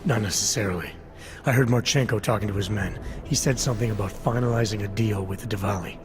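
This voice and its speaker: An actor playing a stoic, defiant special agent in a video game, speaking in a terse, dry, controlled manner. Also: male voice, fairly low pitch, fast, natural voice. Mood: determined. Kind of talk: cinematic video game acting. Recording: game soundtrack music behind.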